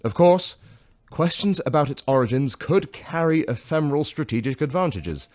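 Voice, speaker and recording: Man playing a pompous, expressive science professor. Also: a little high, moderate pace, no echo, natural voice. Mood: loftily dismissive. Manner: pompous, formal, condescending. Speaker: scientist lecturer. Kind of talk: sci-fi radio drama lecture.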